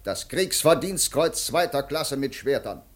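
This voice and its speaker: A man's voice: mid-range voice, animated, measured pace. A man acting a pompous, declaiming official in a 1950s German radio drama. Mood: solemn. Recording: 1953 radio recording, loud music behind.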